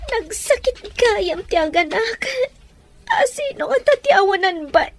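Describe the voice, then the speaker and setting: A woman's voice: extremely high pitch, expressive, a little slow, no room sound, full character voice. A woman playing a troubled, devout young expectant mother in an audio drama. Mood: pained pleading.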